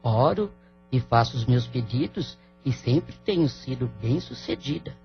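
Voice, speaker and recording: An actor playing an elderly, businesslike woman spiritual worker in an audio drama, speaking in a measured, formal way. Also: mid-range voice, expressive. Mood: guarded, assured. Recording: dull lo-fi audio with music underneath.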